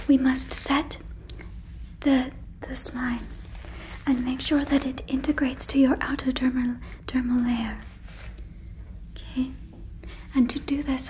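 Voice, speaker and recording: A woman whispering close to a fluffy microphone in a breathy ASMR roleplay as a gentle, earnest alien, repeating words softly and deliberately. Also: sits high, a little hiss or hum, low-quality recording. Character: gentle, earnest female alien fussing over a visitor. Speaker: ASMR performer.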